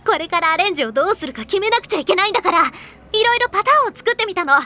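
A woman playing a bossy, cocky, energetic teenage girl club leader in an anime audio drama. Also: very high voice, racing, heavily acted. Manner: commanding, cocky, emphatic.

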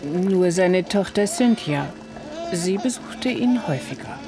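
An actress playing an observant, talkative neighbour giving witness testimony in a radio crime drama, telling it deliberately and with emphasis. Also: female voice, lowish voice, lively intonation, music in the background. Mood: recalling.

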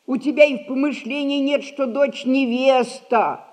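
An old merchant-house matriarch in a stage comedy. Her voice is high and commanding. She scolds in a folksy, sardonic way, sly and sure of her authority. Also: a little slow, a little mellow. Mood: scolding. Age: older. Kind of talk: theatrical audio play acting.

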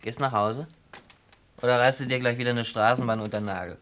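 An actor playing a cocky, teasing small-time thief in a radio play, talking in a chummy, needling, colloquial way. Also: male voice, mid-range voice, steady tone, fast talker, a bit bright, slight background noise, natural voice. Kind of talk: German radio play acting.

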